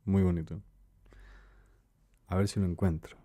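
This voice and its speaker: Dark-voiced Ecuadorian man hosting. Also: low-pitched, steady tone, measured pace, no room sound, studio quality. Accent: Ecuadorian Spanish. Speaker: interviewer, host. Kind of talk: casual conversational interview.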